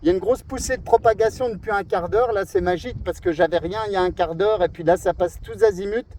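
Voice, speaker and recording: A man's voice: high-pitched, fast, a little mellow. A man, a radio operator, chatting casually and amiably in rambling radio jargon while outdoors. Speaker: amateur CB radio operator making a video. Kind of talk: casual radio contact chat. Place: outdoors in a windy spot. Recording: outdoor recording with wind and traffic noise.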